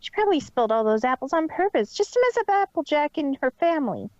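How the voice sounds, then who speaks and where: A woman's voice: sits high, sing-song, quick pace, no echo, lo-fi sound. A woman voicing a brash, grumbling young female pony in a story reading, blunt and indignant.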